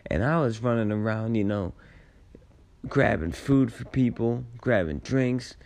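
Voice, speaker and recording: A man with a raspy voice, tired late in the day, telling personal stories in a casual, rambling, halting and reflective way with laid-back slang. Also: mid-range voice, a little mellow. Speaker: storyteller sharing personal memories.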